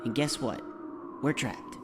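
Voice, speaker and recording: A man's voice: mid-range voice, a little slow, natural voice. Man acting an anxious, exasperated teenage boy, noisy recording. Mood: panicked, resigned. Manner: exasperated, doubting. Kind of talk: dramatized audiobook acting.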